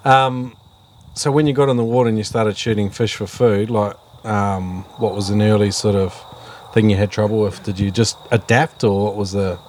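A man's voice: lowish voice, steady tone, normal speed, a little mellow, slight background noise. A man hosting a casual, conversational interview, asking questions and chatting along. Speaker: interviewer, host.

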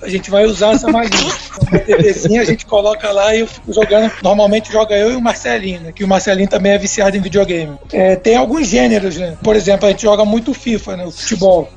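A man answering questions as a guest on a radio interview, chatty and relaxed, laughing easily. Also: high voice, quick pace, creaky, hardly any pauses, music in the background. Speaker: basketball player guest.

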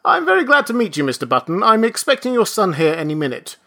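Man reading as a prim, stern registrar, high and bright, audiobook. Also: wildly swooping pitch, fast talker, exaggerated voice. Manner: prim, rising to outraged shouting. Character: stern, indignant male college registrar. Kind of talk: audiobook character voice.

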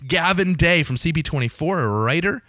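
A young man with a slightly raspy voice, hosting his podcast in a chatty, joking way and swearing casually. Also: on the high side, animated, normal speed, bright, forceful tone, no echo, studio quality. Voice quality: a little raspy. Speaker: podcast host. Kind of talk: casual solo podcast intro.

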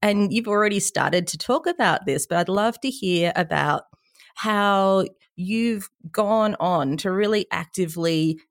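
A woman co-hosting a podcast, asking long questions in an eager, warm way. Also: on the low side, dry recording, studio quality. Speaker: podcast co-host. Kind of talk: casual interview chat.

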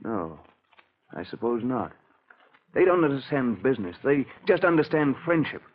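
Anxious American husband, old muffled radio. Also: male voice, medium pitch, big pitch swings. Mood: bitter, urgent. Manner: hesitant, halting, pleading. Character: desperate, anxious male locksmith, devoted husband. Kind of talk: 1940s radio acting.

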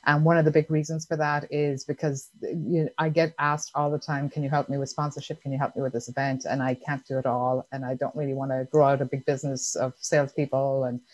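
Low-voiced Irish woman, chatty guest. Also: flat delivery, fast, hardly any pauses, no echo, poor audio. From Ireland. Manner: chatty, laughs easily, many fillers. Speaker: guest entrepreneur. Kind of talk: casual interview answers.

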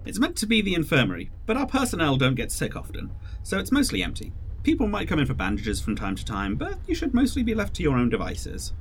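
A man playing a polite, fatherly man in an audio drama, speaking in a gentle, courteous, reassuring way. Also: fairly high pitch, huge pitch swings, fast, a little hiss or hum. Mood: kind, modest.

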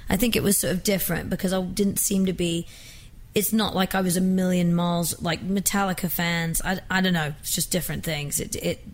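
A woman's voice: lowish voice, steady tone, fairly quick. A young woman from England with an accent blended with American, chatting casually as a singer guest in an interview, often restarting her sentences. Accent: mixed English and American.

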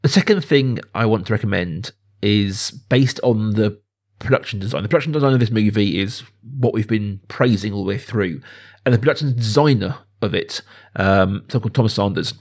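A man around his thirties or forties hosting a casual film discussion, talking enthusiastically in a halting, discursive way. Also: on the low side, a little mellow. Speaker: podcast host.

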